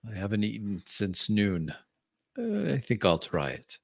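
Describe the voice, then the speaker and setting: Genial Parisian professor for tabletop roleplay, played by a man, French accent, fairly low voice, formal and gracious. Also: wildly swooping pitch, measured pace, creaky, no room sound, studio quality, exaggerated voice. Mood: sociable, amused.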